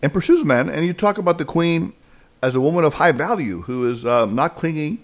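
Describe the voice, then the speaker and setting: Fast, animated American man hosting. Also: a little high. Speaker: host, clinical psychologist and speaker. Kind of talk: podcast interview hosting.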